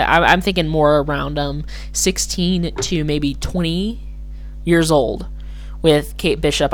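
A podcast host with a slightly hoarse voice, talking casually and alone in a rambling, hesitant way that keeps correcting itself. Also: medium pitch, a bit bright. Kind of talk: casual solo commentary.

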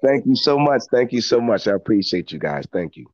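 A man speaking as a podcast guest in casual, reflective conversation, earnest and halting, often repeating his words. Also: mid-range voice, very animated, a little mellow, no room sound, dull audio.

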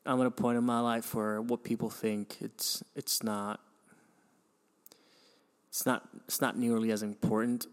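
A man's voice: mid-range voice, little pitch movement, measured pace, long pauses, clean tone. A young man from Venezuela hosting a podcast, telling his life story in a reflective, hesitant way with frequent restarts and sighs. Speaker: podcast host.

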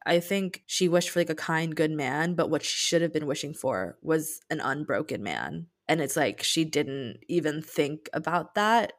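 Low-voiced woman in reflective book discussion. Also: animated, moderate pace, few pauses. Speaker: co-host of a book discussion podcast.

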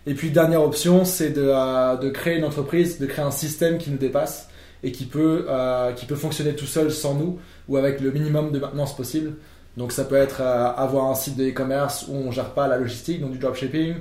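French man with a mellow voice. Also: medium pitch, fairly even intonation, a little fast. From France. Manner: explanatory, many fillers and restarts. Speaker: podcast guest. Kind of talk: casual podcast conversation. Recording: echoey room.

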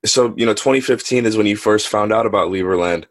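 A man's voice: fairly low pitch, fast, dry recording. A man hosting a podcast, asking friendly, curious interview questions. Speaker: podcast host.

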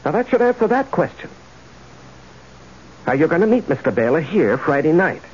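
Eager company husband, very animated. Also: male voice, fairly high pitch, normal speed. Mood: exasperated. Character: ambitious corporate husband, eager, deferential to bosses, impatient at home. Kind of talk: 1970s radio drama acting. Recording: dull, noisy old radio drama recording.

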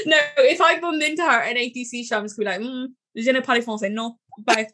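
A young Englishwoman chats loosely and pokes fun at herself, laughing a lot. Her voice sits a little high for a woman. She talks like a casual podcast co-host. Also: a little fast, a bit bright. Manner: laughing often, chatty and self-mocking. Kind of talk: casual chat.

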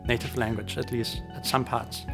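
A man's voice: mid-range voice, little pitch movement, creaky, fairly bright tone, soft background music. Male teacher, German first language, thoughtful and careful answers. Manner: measured, hesitant with many fillers. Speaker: teacher being interviewed.

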